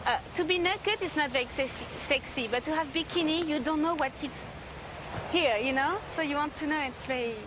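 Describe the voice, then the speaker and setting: Young woman, French accent, high voice, halting English, music behind. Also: background noise. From France. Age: young adult. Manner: halting, searching for English words. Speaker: model. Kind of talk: interview.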